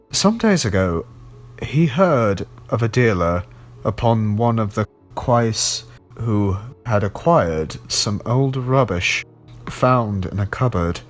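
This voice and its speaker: A man reads as a learned gentleman collector in a gothic storybook tale. He lectures in a pedantic, knowing way, lowish and very unhurried, over faint music.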